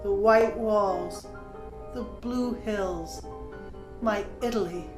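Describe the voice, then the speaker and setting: An actress as a reflective woman poet looking back on her life in an audio book drama. She recites verse slowly and deliberately. The voice rings in a roomy space, with instrumental music underneath. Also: mid-range voice. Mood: solemn, wistful. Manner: measured, deliberate phrasing. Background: musical instrument playing.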